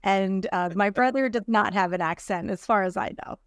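A woman's voice: medium pitch, moderate pace, no echo, studio quality. A middle-aged woman from New Jersey, a podcast guest who tells her story in a chatty, easy way and laughs often. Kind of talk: casual interview.

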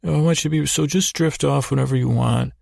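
Gravelly, creaky man rambling at bedtime. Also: medium pitch, darkish tone, no room sound, studio quality. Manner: meandering, halting, repeats words and trails off. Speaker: podcast host. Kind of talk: rambling bedtime monologue to help listeners sleep.

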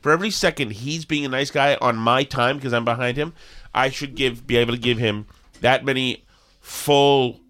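A man's voice: mid-range voice, fairly even intonation, moderate pace, fairly bright tone. A man co-hosting a casual live-stream chat, ranting sarcastically while coughing and losing his voice. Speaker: co-host.

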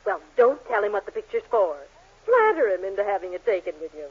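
Conniving wife and confidante, raspy, lively delivery, tinny vintage radio comedy. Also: female voice, medium pitch, quick pace. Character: bossy, inquisitive, conniving married woman, neighborly confidante. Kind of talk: 1940s radio sitcom acting. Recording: thin, phone-like 1940s radio recording.

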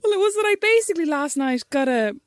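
A woman's voice: high voice, animated, fast talker, dry recording. A woman, co-hosting a casual chat with her sister, telling stories animatedly and laughing often.

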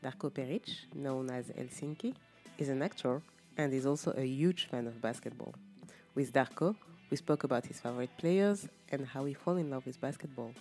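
A host who reads a scripted introduction, then asks an interview guest short questions and fills in with quick agreeing interjections. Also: medium pitch, mellow, soft background music.

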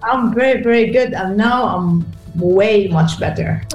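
Female guest from Lebanon, mellow voice, echoey room, faint hiss, easy laughs and fillers. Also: medium pitch, slow, soft background music. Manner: warm, laughs easily, hesitant fillers. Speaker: guest, executive coach. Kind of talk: casual interview conversation.

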